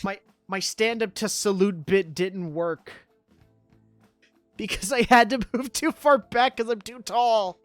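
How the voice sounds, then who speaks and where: A woman's voice: medium pitch, measured pace. A woman streaming a game, reacting casually with playful, joking remarks. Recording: roomy echo with game music.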